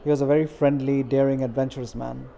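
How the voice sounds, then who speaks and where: A middle-aged man answers questions earnestly and at a measured pace, pausing often on fillers. His voice is mellow and dark, sitting in an ordinary middle pitch. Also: level tone, gravelly. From India. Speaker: interviewee, community organizer. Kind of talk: interview answers.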